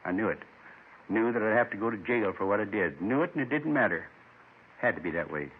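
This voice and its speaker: An actor playing a lonely, gentle man in his seventies, folksy and wistful, confessing in short rambling phrases in 1950s radio drama style. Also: fairly low pitch, expressive, a little fast, slight background noise, close to an everyday voice. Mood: remorseful. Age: 70s. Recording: muffled 1950s radio recording.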